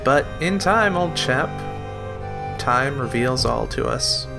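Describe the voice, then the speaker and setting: A man playing a wry, skeptical lighthouse keeper in an audio drama, with dry, old-fashioned gentlemanly manners. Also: mid-range voice, expressive, slow pace, creaky. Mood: thoughtful. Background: music.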